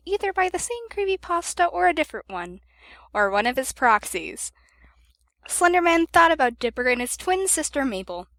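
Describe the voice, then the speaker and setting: A woman narrates a scripted story in a high voice. Her intonation moves around a lot, and the recording is dry and close. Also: fairly bright tone, no echo. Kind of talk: scripted narration of fan fiction.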